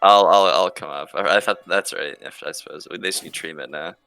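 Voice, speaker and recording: A man's voice: deep voice, brisk, bright, forceful tone, smooth, dry recording, soft background music. A man playing a tabletop roleplaying game, talking casually and hesitantly, often repeating words.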